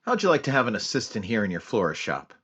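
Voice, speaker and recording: An actor playing a terse, businesslike police sergeant who narrates the case in a clipped, matter-of-fact 1940s radio drama style. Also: male voice, lowish voice, big pitch swings, quick pace, fairly bright tone, husky, no echo, heavily acted. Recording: dull lo-fi radio sound with music bed.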